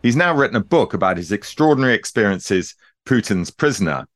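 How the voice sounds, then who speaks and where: A man hosting a podcast, reading a measured, formal introduction and questioning a guest. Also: fairly low pitch, very animated, fairly bright tone. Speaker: podcast host. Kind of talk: scripted show introduction.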